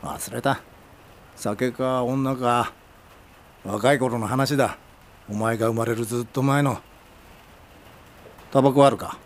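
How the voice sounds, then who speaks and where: An actor in a radio drama playing a crude, proud old film director, curt and teasing, with a gravelly voice. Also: male voice, medium pitch, steady tone, slow pace, long pauses, a little hiss or hum, natural voice. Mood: gruff, wry. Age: older. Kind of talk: Japanese radio drama acting.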